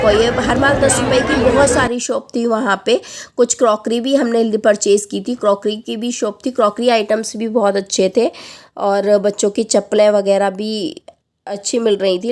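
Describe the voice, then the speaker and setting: A woman in her thirties with a sore, husky throat, chattily narrating a family outing as a vlog voice-over and pausing often as she lists prices. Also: medium pitch, level tone, a faint crowd. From Lucknow, India. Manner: chatty, lists prices with frequent hesitant pauses. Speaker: family vlogger.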